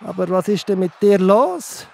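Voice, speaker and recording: A man's voice: high-pitched, expressive, vocal fry, a little mellow, exaggerated voice. A man with a Swiss German accent playing a boastful giant Philistine warrior who taunts and mocks in a children's audio drama. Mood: sneering. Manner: taunting, drawn-out, with scornful laughs.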